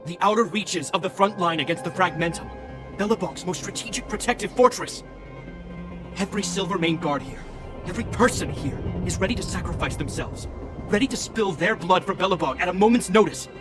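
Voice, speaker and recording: An actor playing a dutiful, stern young guard captain in a video game, speaking in a formal, commanding, earnest way. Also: male voice, high voice. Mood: accusing. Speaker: military captain. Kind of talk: dramatic video game voice acting. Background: game music.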